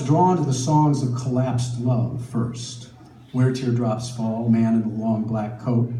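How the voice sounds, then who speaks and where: A man's voice: mid-range voice, dark tone. Echoey middle-aged American man reading aloud. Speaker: author reading from own book. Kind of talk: live literary reading of a personal memoir. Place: live event in a gallery. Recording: echoey live room, distant mic, faint noise.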